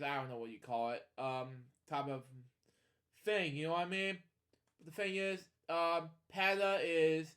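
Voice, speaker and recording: A man with a fairly high voice hosts a casual storybook read-along. He wanders off into opinionated asides and speaks straight to his listeners. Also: fairly bright tone. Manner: digressive, opinionated commentary addressed to listeners. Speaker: podcast host.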